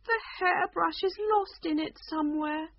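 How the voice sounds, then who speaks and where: A woman voicing a flustered, dithering but kindly queen in a storybook reading, with high, fluttery exclamations. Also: very animated, measured pace, no echo, exaggerated voice. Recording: dull lo-fi recording.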